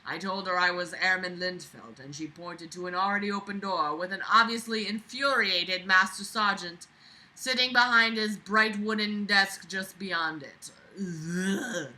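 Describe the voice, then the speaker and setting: A woman with a very bright, forceful voice reads a book aloud and picks it apart as she goes. She is sarcastic and openly exasperated, swearing freely and breaking off into theatrical, scornful asides. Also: medium pitch, unhurried, rough recording. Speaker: reader reviewing a book aloud. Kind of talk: reading a book aloud with exasperated running commentary.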